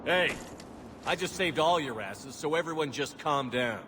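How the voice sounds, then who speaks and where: Resolute military commander. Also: male voice, on the high side, lively intonation, measured pace. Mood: stern, urging. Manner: authoritative, clipped. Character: resolute human navy commander, tough, terse action hero. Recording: clear vehicle and ambient noise behind.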